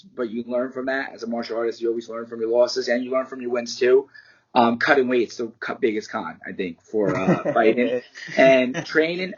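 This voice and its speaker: A man talks through a candid, loose interview, rambling a little and swearing without a second thought. His voice has a husky edge to it, and the call audio is muffled and lo-fi. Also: mid-range voice. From United States. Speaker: MMA fighter and martial arts teacher. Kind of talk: casual interview answers.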